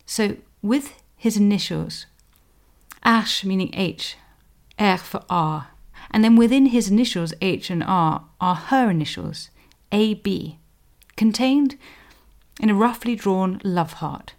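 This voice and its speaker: A woman with a medium-pitched voice presents a history podcast. She delivers scripted explanation like a scholar, articulate and precise, leaning deliberately on key words.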